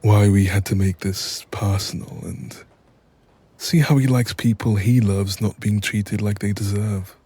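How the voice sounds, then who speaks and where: A man playing an apologetic, exasperated mafia boss in an audio roleplay, with a husky voice and a wry, sweary, chatty manner. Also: lowish voice, steady tone, unhurried, mellow. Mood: gossipy, indignant. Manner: wry, sweary, chatty, calls listener doll. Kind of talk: audio roleplay acting, intimate one-sided dialogue.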